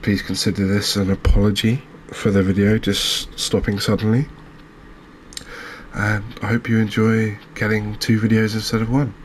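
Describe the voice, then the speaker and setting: A man giving gentle, unhurried ASMR commentary as he plays a game, pausing and hesitating as he goes. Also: on the low side, little pitch movement, slight background noise. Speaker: host of a gaming channel. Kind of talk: ASMR let's-play commentary.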